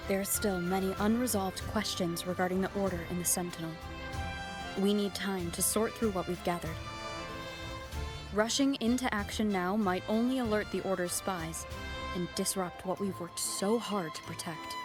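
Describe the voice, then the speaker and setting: A woman voicing a level-headed, protective strategist in a video game, measured and serious. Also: mid-range voice, lightly acted. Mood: cautious, serious. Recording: music clearly behind the voice. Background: background music.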